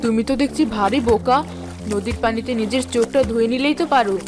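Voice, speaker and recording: Sharp-tongued cartoon housewife, highish voice played by a woman. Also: fast talker, over music, lightly acted. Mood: scornful. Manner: sarcastic, argumentative. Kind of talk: cartoon voice acting.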